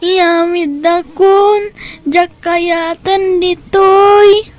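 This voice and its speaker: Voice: extremely high pitch, fairly even intonation, extremely slow, a little hiss or hum, heavily acted. A performer playing a weary, obedient young boy in an audio drama, with an Ilocano Filipino accent and a plaintive manner. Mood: pained, pleading.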